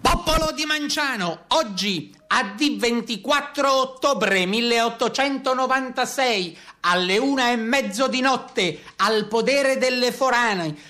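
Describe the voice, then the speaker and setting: An actor playing a stern town crier who declaims an official proclamation in a theatrical radio play. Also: male voice, very high voice, a little slow, hardly any pauses, sharp tone, full character voice. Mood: stern, proclaiming.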